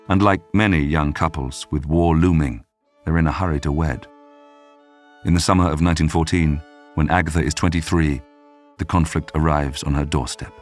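Male podcast narrator, deep register, scripted documentary storytelling with measured phrasing, music bed behind.

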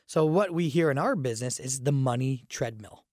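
A man with a raspy voice from a cold hosts a casual radio talk show, chatting with fillers and restarts. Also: medium pitch, animated, normal speed, darkish tone, no room sound, studio quality. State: fighting a cold, groggy. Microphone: studio microphone.

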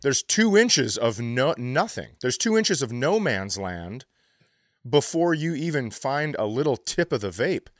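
A man's voice: medium pitch, sing-song, a little slow, no echo, studio quality. A man co-hosting a comedy podcast, riffing in casual, profane, crude chat.

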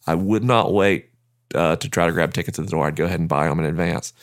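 A man's voice: sits low, fast talker. A man hosting his own podcast, chatting upbeat announcements to listeners with self-deprecating jokes, recorded on a studio mic. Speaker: podcast host. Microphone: studio microphone.

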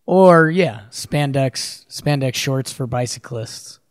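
A man's voice: mid-range voice, slow pace, no room sound, studio quality. A man co-hosting a sports podcast with casual, joking, slangy banter.

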